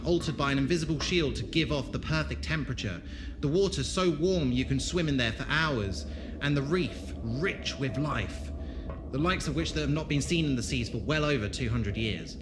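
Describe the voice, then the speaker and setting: An actor playing a worldly, avuncular space traveller who leads his group with eloquent, gently authoritative showmanship in an audio drama. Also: fairly high pitch, expressive, normal speed, over music, lightly acted. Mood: grand, enthusiastic. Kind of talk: fan audio drama acting.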